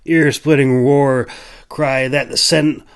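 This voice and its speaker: A man's voice: mid-range voice, fairly even intonation, slow, gravelly, clear, resonant tone. A man narrating a fantasy adventure as a scripted audiobook, in a solemn, theatrical manner. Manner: solemn, theatrical, swells on action and drops for somber passages. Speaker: audiobook narrator, author reading own book. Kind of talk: dramatic scripted fantasy storytelling.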